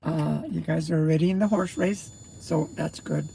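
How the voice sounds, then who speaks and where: A man's voice: fairly high pitch, steady tone, normal speed, mellow, a little hiss or hum, low-quality recording. A man, a truck driver, rambling through a casual morning livestream and greeting viewers as he chews his breakfast. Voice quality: gravelly. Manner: chewing while talking.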